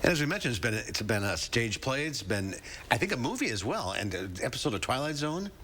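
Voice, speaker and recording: Man, news anchor, husky voice, animated pitch, broadcast delivery. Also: brisk. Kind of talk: broadcast introduction and studio chat.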